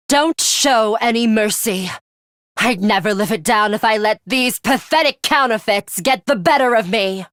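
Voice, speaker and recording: A woman voicing a ruthless, blunt high school girl fighter in a video game, composed, deadpan and commanding. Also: no room sound, studio quality. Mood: scornful, menacing. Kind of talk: scripted English game voice acting.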